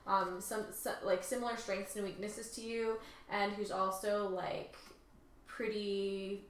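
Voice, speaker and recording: A woman's voice: medium pitch, flat delivery, takes it slowly, slight background noise. A woman co-hosting a casual discussion, reading aloud and commenting. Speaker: co-host reading and discussing reviews. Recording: roomy, echoing sound.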